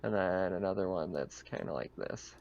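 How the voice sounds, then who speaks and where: Gravelly-voiced man running a game. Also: sits low, a little mellow, lo-fi sound. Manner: halting, improvised descriptions. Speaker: game master. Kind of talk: casual tabletop game narration.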